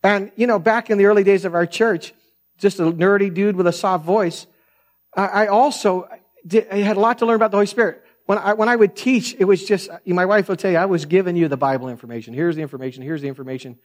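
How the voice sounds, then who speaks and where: A man with a soft voice preaching a sermon, telling stories in an earnest, conversational way. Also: high-pitched, fast talker. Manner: earnest, conversational storytelling with frequent restarts. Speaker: pastor.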